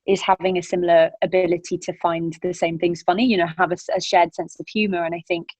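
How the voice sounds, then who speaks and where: A woman in her twenties, guest on an interview podcast, speaking in an animated, chatty way with a British accent mixing Northern Irish, Scottish and Manchester traces, often laughing as she talks. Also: on the low side, fast talker, no room sound. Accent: British mix with Northern Irish, Scottish and Manchester traces. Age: 20s. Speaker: actor guest. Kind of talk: casual interview chat.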